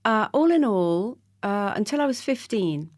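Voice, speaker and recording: A woman's voice: medium pitch, lively intonation, unhurried, dry recording, clean studio sound. A woman in her fifties, a TV presenter, telling candid family stories as a podcast guest. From Trinidad, Scottish parents. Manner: warm storytelling, candid. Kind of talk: casual interview chat.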